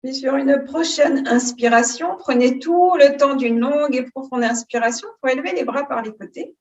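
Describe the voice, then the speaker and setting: A woman teaching yoga, giving calm, unhurried and soothing guidance on posture and breathing. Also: sits high, muffled recording. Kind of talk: guided yoga and breathing instruction.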